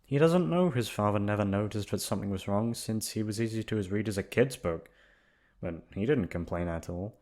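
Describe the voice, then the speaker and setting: Low-voiced man narrating a bedtime story. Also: a little fast, a little mellow. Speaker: voice actor reading a bedtime story. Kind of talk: soft storybook narration, ASMR sleep aid.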